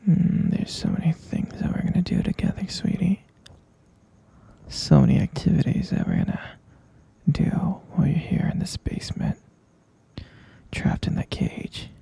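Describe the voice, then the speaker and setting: A man voicing an obsessive, sweetly possessive kidnapper in an ASMR roleplay, talking in a soft, clingy, soothing whisper that keeps insisting. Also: fairly high pitch, wildly swooping pitch, moderate pace, gravelly, breathy edge, full character voice.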